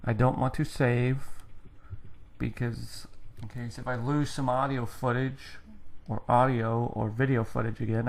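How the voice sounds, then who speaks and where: A man's voice: mid-range voice, creaky. A young man giving casual, rambling commentary on a video game as he plays, explaining things and poking fun at himself, recorded on a headset. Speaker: let's play gamer.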